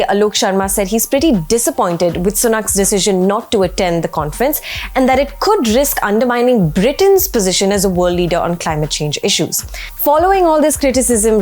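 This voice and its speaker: A woman presenting a scripted news explainer in a measured, explanatory manner. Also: a little high, a little mellow.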